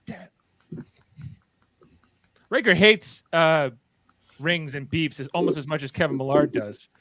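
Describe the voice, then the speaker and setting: A man's voice: fairly high pitch, lively intonation, a little slow, a bit bright, dry recording. A man narrating stage directions for a radio play, with wry casual asides.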